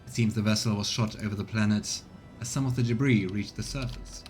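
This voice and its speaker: A man's voice: fairly low pitch, steady tone, brisk. A man doing casual let's play commentary, chatting as he thinks aloud and reads game text. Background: faint game music.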